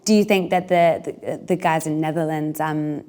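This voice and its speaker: A woman's voice: deep voice, fairly even intonation, normal speed, mellow. A woman hosting a casual panel interview and asking questions. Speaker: podcast host and interviewer.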